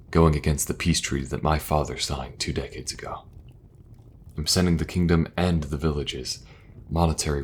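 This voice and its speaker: A weary king bowed under his duties, earnest and measured, as a man plays him. His voice sits extremely low, with a faint hiss of noise behind it in this audio drama. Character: weary, dutiful male king burdened by duty.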